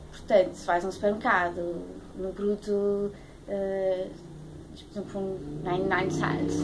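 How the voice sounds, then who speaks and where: A woman's voice: mid-range voice, darkish tone. A woman in her late twenties with a European Portuguese accent, talking casually to camera as a vlogger, hesitant and searching for words. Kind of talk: casual talk to camera, airing a pet peeve. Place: small room at home, indoors. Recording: clearly noisy home webcam audio.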